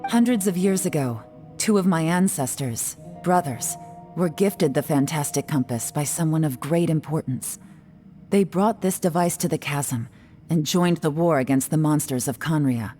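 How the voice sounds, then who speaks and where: A woman playing a cool, composed, shrewd investigator in a video game, speaking in a measured way. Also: sits low, lively intonation, warm tone. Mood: grave, explaining. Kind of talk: game voice acting. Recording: music behind the voice.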